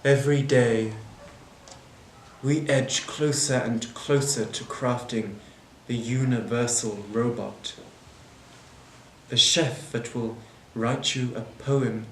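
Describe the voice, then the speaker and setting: A bitter, disillusioned manager, acted by a man delivers a solemn dramatic monologue. He speaks very slowly and deliberately, breaking thoughts into short phrases. The room echoes around him, with noticeable background noise. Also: medium pitch, steady tone. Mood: grave conviction. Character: bitter, disillusioned airport manager defending replacing people with machines. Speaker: actor performing a monologue. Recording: roomy echo, clear background noise.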